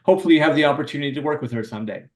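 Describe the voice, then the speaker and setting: A man's voice: on the high side, rapid-fire, darkish tone, roomy sound, distant mic. Enthusiastic male podcast host. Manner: enthusiastic, rambling with fillers and restarts. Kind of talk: casual podcast interview.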